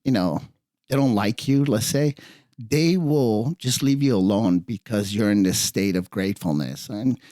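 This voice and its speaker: A man's voice: fairly low pitch, expressive, measured pace, warm tone, no echo, clean studio sound. A middle-aged man from Iran, partly raised in California, talking earnestly and casually as a chef guest on a podcast. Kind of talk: casual podcast interview, personal stories.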